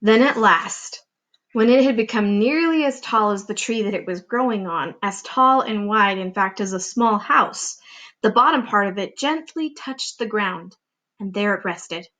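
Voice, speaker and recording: A woman reading a children's storybook aloud as a teacher would, with expressive, deliberate narration. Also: mid-range voice.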